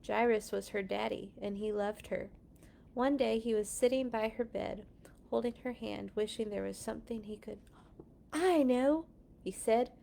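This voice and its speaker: A woman's voice: medium pitch, steady tone, moderate pace, creaky, mellow, slight background noise. A woman reading picture books aloud to children, telling the stories expressively and talking to the young listeners between passages. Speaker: children's story reader. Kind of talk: children's read-along storytelling.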